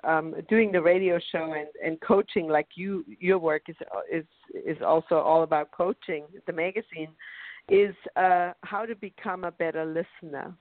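A woman whose first language is German, hosting a radio interview and speaking slowly and deliberately. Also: lowish voice, fairly even intonation, dry recording. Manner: slow, deliberate speech. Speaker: radio show host. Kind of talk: conversational interview. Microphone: phone call. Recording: tinny phone line.

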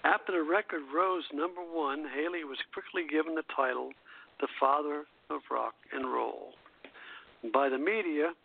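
A man's voice: a little high, moderate pace, vocal fry. An older man hosting a nostalgic radio show, moving between scripted presenting and casual chat. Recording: narrow phone-line radio audio.

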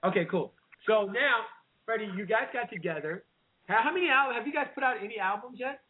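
A man from Washington, D.C., hosting a casual radio interview, chatty and quick with interjections. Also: high-pitched, expressive. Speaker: radio host. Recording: noisy phone-like line.

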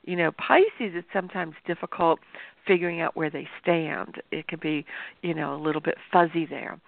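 A woman astrologer hosting a show, talking to viewers in a chatty, explanatory way, with a sore throat that makes her voice gravelly. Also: sits low, moderate pace, no room sound. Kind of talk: informal talk-show monologue. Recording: tinny phone-like dry sound.

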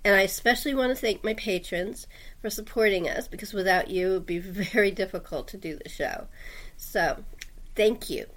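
A woman's voice: mid-range voice, normal speed. A woman hosting a radio drama, making an informal announcement to listeners in a chatty, emphatic, rambling way. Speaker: host and producer of a radio drama.

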